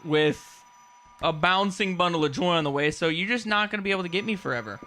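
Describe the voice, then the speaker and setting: A man's voice: high-pitched, quick pace, sharp tone, a little hiss or hum. A young man casually commentating a horror game, joking and swearing as he plays. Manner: joking, swearing, talking to himself. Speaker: gaming streamer. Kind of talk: casual let's-play commentary.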